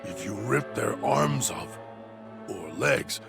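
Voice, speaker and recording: A man's voice: mid-range voice, lively intonation, slow, heavily acted. A man voicing a broken, feral male dog-creature who talks about himself in the third person in clipped broken speech. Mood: brooding. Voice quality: gravelly. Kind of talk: game character monologue. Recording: music playing underneath.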